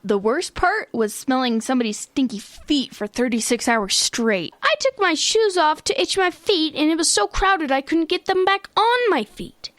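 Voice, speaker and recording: Lady doing a really high pitched whiny kid, swoopy n dramatic, radio comedy. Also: expressive, moderate pace, few pauses, fairly bright tone, no room sound. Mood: whiny, complaining. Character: whiny, excitable kid in a bickering family comedy crew. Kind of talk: comedy radio play acting.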